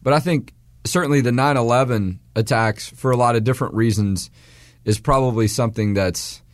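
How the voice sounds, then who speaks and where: A man in his forties from Texas, hosting a radio talk show in a hoarse voice, rambling with restarts and fillers. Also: medium pitch, moderate pace, no echo. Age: 40s.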